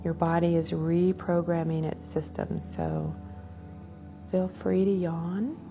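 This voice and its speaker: A woman leads a group meditation in a low, mellow voice with a creaky fry. She speaks soothingly, stretching out her phrases and letting them fade softly at the ends. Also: expressive, faint music behind. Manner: soothing, unhurried, drawn-out phrasing with soft trailing endings. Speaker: guided meditation leader. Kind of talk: slow guided meditation for a group class.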